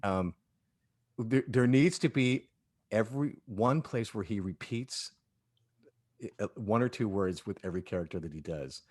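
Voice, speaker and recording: A man with a fairly low voice talks casually as part of an audio drama cast. He rambles enthusiastically, pausing and restarting as he gathers his thoughts. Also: darkish tone. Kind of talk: casual group chat.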